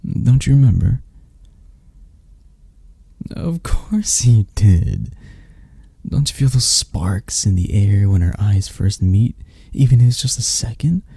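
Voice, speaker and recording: A man voicing an obsessed, flirty, possessive male kidnapper in a hushed, halting ASMR roleplay. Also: lowish voice, no echo, heavily acted. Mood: possessive, menacing. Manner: hushed, halting, breathy-soft delivery.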